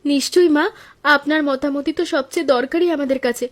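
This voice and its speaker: A woman voicing a brisk, high-voiced young wife. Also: lightly acted. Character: young daughter-in-law, elder sister-in-law, practical cook. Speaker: daughter-in-law.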